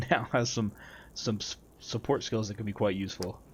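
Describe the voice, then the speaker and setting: A man's voice: fairly low pitch, normal speed. A man hosting a gameplay video, casually commenting and reading tutorial text aloud. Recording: faint background noise, game ambience.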